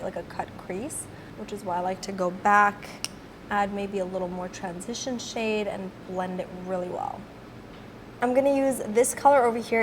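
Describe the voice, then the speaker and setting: A woman with a slightly raspy voice from a cold, chatting casually as she walks through her makeup routine step by step. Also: medium pitch, background noise. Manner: chatty, filler-heavy, explaining each step. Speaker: beauty vlogger. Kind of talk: casual makeup tutorial narration.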